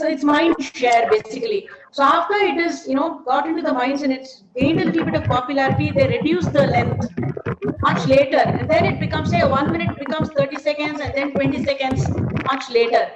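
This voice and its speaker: Indian-accented woman, seminar speaker, high pitch, echoey room, explanatory informal delivery. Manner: explanatory, rhetorical questions. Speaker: seminar speaker, ad film maker. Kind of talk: informal online lecture and Q&A. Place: indoors, online seminar. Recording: dull, echoey online call with hiss.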